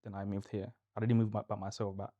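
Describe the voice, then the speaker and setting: A man's voice: lowish voice, little pitch movement, fast talker, mellow, dry recording, lo-fi sound. A young man from Ghana with a Ghanaian accent, co-hosting a podcast in casual conversation and often repeating short phrases. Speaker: podcast co-host.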